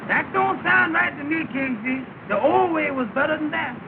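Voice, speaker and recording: A man's voice: very high-pitched, lively intonation, moderate pace, gravelly, exaggerated voice. An actor playing a simple, easily baffled young man who stammers and balks in an old film comedy. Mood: puzzled. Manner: stammering, hesitant, stubborn. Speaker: comic lead in a 1930 film. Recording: scratchy, tinny, hissy 1930 film sound.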